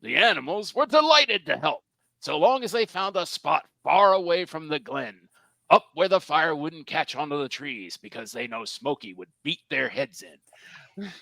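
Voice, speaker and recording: A man narrates a storybook tale in a bright, slightly high voice. He leans on words like a storyteller and adds wry asides, with creaky edges. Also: animated, normal speed, no echo. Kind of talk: animated storybook narration at a live script reading.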